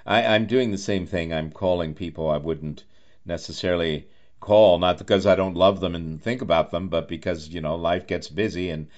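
Deep-voiced man hosting an interview. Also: moderate pace, darkish tone, highs cut off. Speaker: interviewer, radio host. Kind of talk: warm chatty radio interview.

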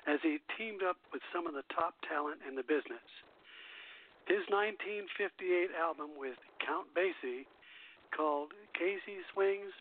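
A thin, phone-like recording of an older man hosting a radio program. His voice sits a little high for a man, and he moves between scripted narration and casual asides. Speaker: radio show host. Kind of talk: reading scripted music history with casual asides. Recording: thin phone-line audio.

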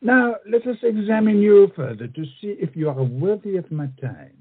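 A flamboyant, high-pitched swordsman. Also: moderate pace, husky. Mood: mocking. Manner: theatrical, mocking, peppers speech with French phrases. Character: pompous, flamboyant big-nosed French swordsman, witty and proud. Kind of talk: comic stage play acting. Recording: muffled, tinny stage play recording.

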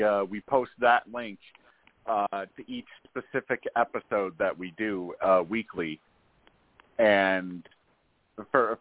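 A man hosting a casual call-in show, talking in a halting way with many restarts. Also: lowish voice, dry recording. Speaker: host. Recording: tinny phone line.

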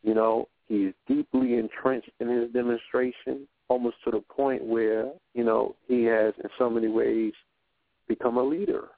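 A man talking in a relaxed, reflective way on a radio roundtable, often stopping to ask "you know what I'm saying". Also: medium pitch, normal speed, no echo. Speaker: radio show guest. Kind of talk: casual roundtable talk. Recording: phone-like narrow line.

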